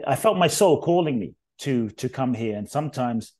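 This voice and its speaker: Inspirational speaker, male, middle-aged: warm dark tone, reflective storytelling that leans hard on key phrases. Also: medium pitch, lively intonation. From Ghana, raised in London. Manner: earnest, measured, builds emphasis on key phrases.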